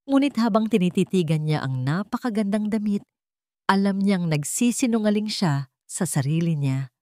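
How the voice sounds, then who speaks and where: A woman's voice: mid-range voice, warm tone, no room sound, studio quality. A woman storyteller reading a scripted romance story in a dramatic narrating style.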